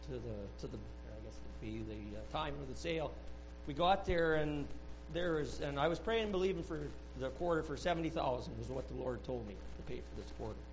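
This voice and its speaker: A man sharing a personal testimony at a church service, speaking earnestly and haltingly with many restarts. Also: on the high side, a little hiss or hum, poor audio. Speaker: church member giving a testimony. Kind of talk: rambling spoken testimony.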